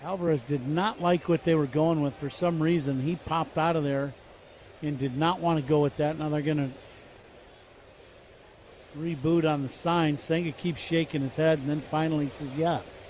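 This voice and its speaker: A man's voice: fairly high pitch, fairly even intonation, normal speed, a little mellow, slight background noise. A man giving conversational, analytical color commentary on a baseball radio broadcast.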